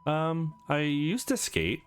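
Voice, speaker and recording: Man putting on an awkward young woman, higher pitched. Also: sing-song, slow, clean tone, faint music behind. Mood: shy. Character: petite young woman gamer, awkward, shy, grateful.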